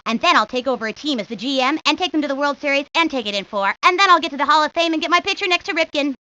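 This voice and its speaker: Bright, high-voiced sporty little girl. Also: expressive, rapid-fire. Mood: proud, eager. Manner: chatty, rambling, matter-of-fact, confident. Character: talkative, sporty young girl, ambitious baseball know-it-all. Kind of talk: cartoon video game character monologue. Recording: dull lo-fi 1990s game audio.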